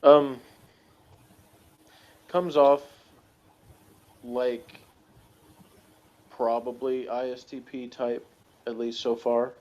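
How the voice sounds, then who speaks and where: A man chatting casually as a review co-host, thinking aloud in a halting, rambling way with small chuckles. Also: mid-range voice, flat delivery, a little mellow. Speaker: podcast co-host reviewing a TV series. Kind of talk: casual chat, rambling analysis. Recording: dull, lo-fi audio.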